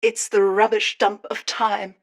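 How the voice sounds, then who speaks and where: An actress playing a grim, reflective woman stranded in a nightmare world, musing in a measured, ominous way for a game audio log. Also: medium pitch, level tone.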